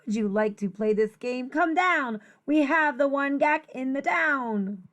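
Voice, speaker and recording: A woman teacher reading a rhyming children's picture book aloud in an expressive, sing-song way. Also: high voice, measured pace.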